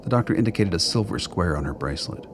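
A man narrating a scripted radio drama in a deliberate, noir storytelling manner. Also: low-pitched, animated, background noise.

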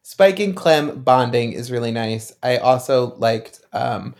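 Animated man in casual podcast chat. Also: medium pitch, unhurried, vocal fry. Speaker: podcast panelist.

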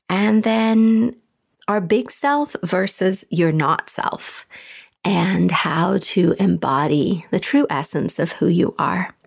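A woman hosting a podcast and giving an intuitive reading, her voice a little raspy today, talking in a gentle, unhurried way full of imagery. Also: fairly low pitch. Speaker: podcast host and intuitive reader. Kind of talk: guided intuitive reading, descriptive.

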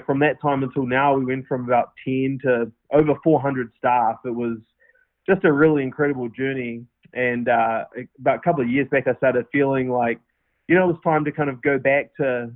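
A man's voice: mid-range voice, steady tone, normal speed, no echo. A man with a New Zealand accent, a podcast guest answering earnestly with many fillers and restarts. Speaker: podcast guest, company founder. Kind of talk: casual interview answers. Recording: tinny phone-line audio.

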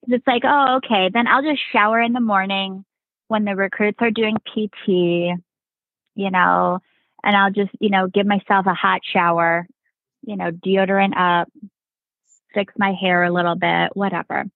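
A woman's voice: medium pitch, steady tone, normal speed, gravelly, no room sound. Woman on a tinny, dry phone line. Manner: rambling, fills with "like" and "right?". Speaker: podcast guest, Navy veteran. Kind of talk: casual storytelling chat.

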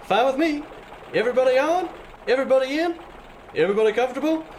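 A man's voice: very high voice, lively intonation, quick pace, a little mellow, a little hiss or hum. A man playing a crude, wisecracking young bus driver in a comedic radio play, with a cocky, teasing delivery. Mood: brisk, ready.

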